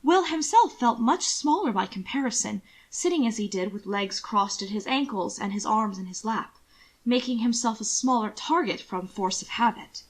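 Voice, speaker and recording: A woman reading scripted fiction aloud as narrator, in a measured, expressive storytelling manner. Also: fairly high pitch.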